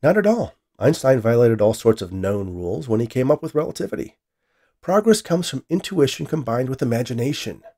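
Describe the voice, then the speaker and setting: A man voicing an ambitious, excitable male scientist with an eager, grandiose manner in a science fiction story. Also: mid-range voice, a little fast, voice pushed up. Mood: insistent.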